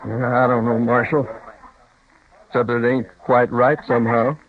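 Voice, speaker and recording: A man's voice: mid-range voice, level tone, close to an everyday voice. A man playing a drawling cowboy foreman. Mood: puzzled. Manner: slow, folksy cowboy talk. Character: puzzled, plain-spoken ranch foreman. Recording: tinny old radio broadcast.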